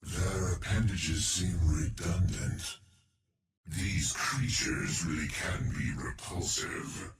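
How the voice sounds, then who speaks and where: Deep gravelly alien eyeball creature. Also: male voice, full character voice. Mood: coldly clinical. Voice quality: deep, slow, gravelly and clinical. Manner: detached, ominous pronouncements. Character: cold alien eyeball creature, male, coolly curious scientist. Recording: roomy game audio with crowd noise behind.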